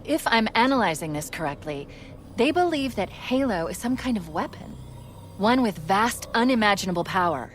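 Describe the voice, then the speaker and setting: A woman playing a poised, quick-witted military artificial intelligence in a sci-fi game cutscene, speaking in an articulate, analytical way. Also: mid-range voice, very animated, slight background noise, close to an everyday voice. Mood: focused, grave. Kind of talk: cinematic sci-fi game acting.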